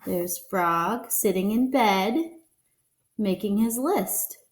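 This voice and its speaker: A woman reading a children's picture book aloud deliberately, pausing to explain what the pictures show. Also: mid-range voice, reverberant, a little hiss or hum. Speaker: storybook reader.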